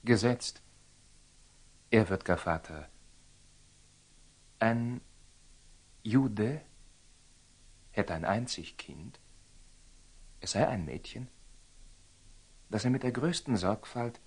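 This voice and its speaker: An actor playing a proud, impetuous young Templar knight in a classical radio drama, speaking bluntly and with passion. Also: male voice, on the low side, lively intonation, slow pace, long pauses, breathy edge, exaggerated voice. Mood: guarded, formal. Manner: impassioned, blunt, questioning.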